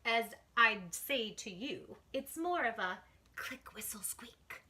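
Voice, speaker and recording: A woman with a high, very bright voice hosts a storytime for children. She reads rhymes aloud expressively, with animated, dramatic delivery. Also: slow, slight background noise. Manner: animated, exclaiming, dramatic hushes. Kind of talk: expressive read-aloud of a rhyming picture book for children.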